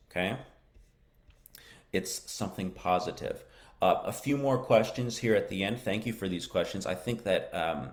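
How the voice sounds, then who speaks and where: An American man teaching English in a live-stream lesson, answering viewer questions in a slow, encouraging way and repeating key phrases. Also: low-pitched. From United States.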